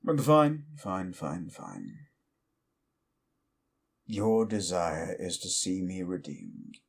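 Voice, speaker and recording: Actor doing a petty elder demon, male, deep and unhurried, scornful, audio roleplay. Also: huge pitch swings, creaky, exaggerated voice. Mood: taunting. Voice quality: slow deep mocking drawl.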